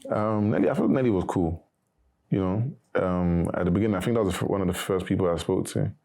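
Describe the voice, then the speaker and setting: Low, flat-voiced young man. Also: fast talker, creaky. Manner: monotone, not very animated. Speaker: evicted reality show contestant. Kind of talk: casual interview answers.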